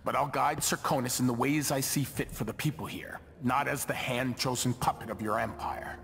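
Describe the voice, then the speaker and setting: An actor playing a shrewd male body double of a duke in a video game, speaking in a measured, wry, theatrical way. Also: medium pitch, vocal fry, a bit bright, in a large hall. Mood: confident. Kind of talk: video game cutscene acting.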